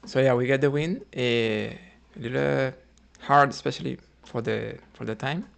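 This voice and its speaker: Man, gravelly fry, middle-pitched, hesitant muttering, thinking out loud as a chess streamer. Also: moderate pace. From Cuba. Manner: thinking aloud, muttering moves quietly, hesitant. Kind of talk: live-streamed commentary on his own chess games.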